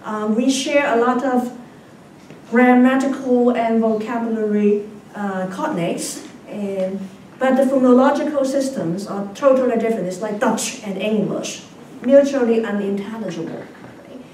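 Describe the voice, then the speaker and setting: A woman's voice: on the high side, a little slow, a little mellow. A middle-aged woman whose first language is Cantonese, giving a chatty academic lecture and often checking in with her audience. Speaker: guest lecturer. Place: large lecture hall. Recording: very echoey hall from far off, faint noise.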